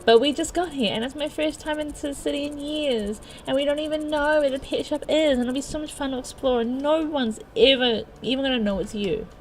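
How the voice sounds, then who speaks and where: Woman playing a bubbly, high-pitched pleading young woman. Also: normal speed, hardly any pauses, a bit bright, a little hiss or hum, poor audio. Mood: eager pleading.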